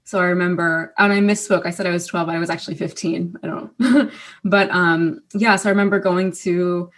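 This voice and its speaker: Low, flat-voiced young woman. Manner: hesitant, many fillers and pauses. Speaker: panelist. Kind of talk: personal storytelling.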